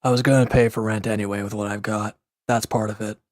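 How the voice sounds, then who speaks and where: Low, gruff, guarded ex-villain played by a man. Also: flat delivery, fast, no room sound, clean studio sound, close to an everyday voice. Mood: matter-of-fact, insistent. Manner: curt, grumbling, defensive, with sighs and hesitant breaks. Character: gruff, guarded male former villain, recovering and reluctantly dependent. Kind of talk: scripted roleplay audio drama addressed to the listener.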